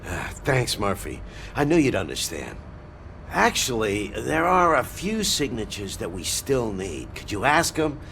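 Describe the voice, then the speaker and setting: An earnest male police officer from a video game. His informal, hesitant delivery swings animatedly in pitch with a creaky, gravelly edge, over faint noise. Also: very animated, measured pace, a bit bright, heavily acted. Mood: friendly, earnest.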